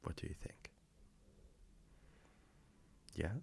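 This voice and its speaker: A man playing a gentle, affectionate boyfriend in an intimate audio roleplay, speaking softly and reassuringly with a low, gravelly, sometimes breathy voice. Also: in a whisper. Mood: hopeful, coaxing. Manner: soft, slow, reassuring, intimate.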